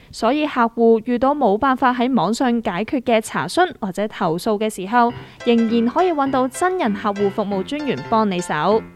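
A woman's voice: a little high, dry recording, close to an everyday voice. A woman with a Hong Kong accent playing a self-assured, trend-chasing small investor who explains things showily in a comic radio drama.

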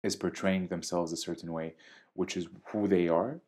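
A young man from Syria, a DJ speaking in a podcast interview with a measured, articulate and diplomatic manner. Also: sits low, steady tone, a little mellow. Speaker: DJ and guest.

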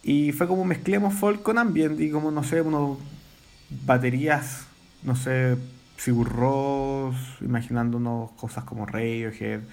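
A Chilean man, a musician guest, talking in a relaxed, rambling way with many fillers. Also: medium pitch, measured pace, creaky. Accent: Chilean Spanish. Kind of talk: casual interview chat.

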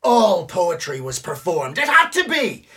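An excitable offbeat poetry teacher in a radio drama, high and really animated, preachy emphatic style. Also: male voice, a little slow, full character voice. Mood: impassioned. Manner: theatrical, emphatic, exhorting. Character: charismatic, excitable, unconventional male poetry teacher.

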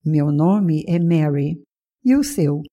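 A woman as a calm American traveller. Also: low-pitched, takes it slowly, muffled recording, heavily acted. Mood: polite. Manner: short, clear answers. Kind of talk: scripted language-lesson dialogue.

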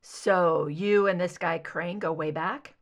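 A woman voicing a probing, concerned male investigator in an audiobook, low-key and questioning. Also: fairly even intonation, slow. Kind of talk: fan fiction audiobook dialogue.

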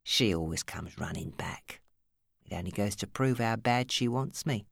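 A woman's voice: very deep voice, expressive, moderate pace, vocal fry, no room sound, clean studio sound, voice pushed down, exaggerated voice. Woman acting a smug, menacing bully. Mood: self-pitying, contemptuous. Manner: sneering, contemptuous, hissing when angered. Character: smug, menacing, bullying male club boss. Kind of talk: audiobook character acting.

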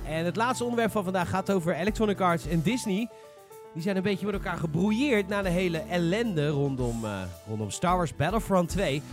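A man hosts a casual gaming podcast. His voice is fairly high and very animated, and he talks frankly with firm opinions. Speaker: podcast host.

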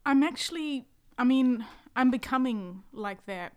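Woman, New Zealand accent, from South Africa, higher voice, playful, animated, musician guest. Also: measured pace. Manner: animated, playful, says like often. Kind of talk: casual chat.

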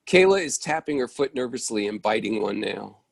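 Gravelly man reading stage directions. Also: fairly low pitch, expressive, moderate pace, no echo. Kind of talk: scripted narration.

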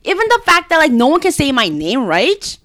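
A woman's voice: high-pitched, wildly swooping pitch, fairly quick, fairly bright tone. A woman of Korean background in casual podcast chat, explaining her views earnestly with lots of "like". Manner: earnest, explains with many likes. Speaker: podcast co-host.

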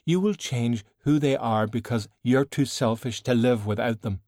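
A male reader plays a long-lived sorceress in an audiobook, worldly and composed. She speaks formally and with cool, stern measure, at a middling pitch for a man, in a very dry, close recording. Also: moderate pace, a little mellow, no echo, lightly acted. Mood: stern warning.

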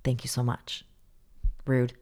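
Low-voiced playful woman on a podcast. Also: monotone, slow pace, a little mellow. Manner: playful, sarcastic, talks in bits and half-sentences. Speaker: podcast co-host. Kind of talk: casual chat.

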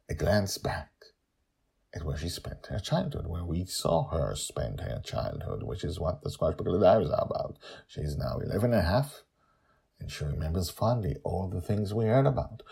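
A low-voiced man hosts a story podcast with a husky, gravelly delivery. He speaks in theatrical short phrases, swinging widely in pitch. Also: warm tone. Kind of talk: dramatic podcast narration and commentary.

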